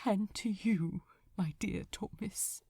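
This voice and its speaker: A woman voicing a gentle, devoted male angel in a story reading, emotional and faltering, with old-fashioned politeness. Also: mid-range voice, takes it slowly, creaky, slightly rough, no room sound, clean studio sound, exaggerated voice. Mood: sorrowful.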